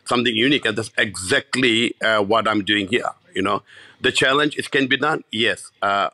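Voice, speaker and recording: Animated Bangladeshi man, bright voice. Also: lowish voice, normal speed, no room sound. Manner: animated, many restarts and repeats. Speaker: chef and restaurateur. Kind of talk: casual interview storytelling.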